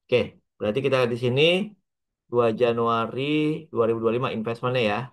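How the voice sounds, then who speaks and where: An Indonesian man speaks as an accounting lecturer at a middling pitch. He explains step by step in short, halting pieces, slipping English terms into his speech and often tagging phrases to check that listeners follow. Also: little pitch movement. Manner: halting delivery, mixing in English terms, frequent checking tags.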